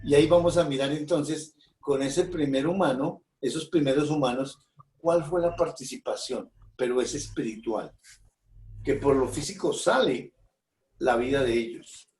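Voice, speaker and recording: A man's voice: medium pitch, normal speed, darkish tone. Distant man leading a Bible discussion. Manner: brief, affirming interjections. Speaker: Bible study leader. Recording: roomy, distant from the mic.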